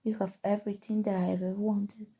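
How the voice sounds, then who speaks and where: A woman playing a calm, seductive, obsessive evil sorcerer in an intimate, coaxing ASMR roleplay, with dramatic pauses. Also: mid-range voice, breathy edge, rough recording. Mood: possessive, intense. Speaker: voice actor. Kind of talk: ASMR roleplay, scripted acting.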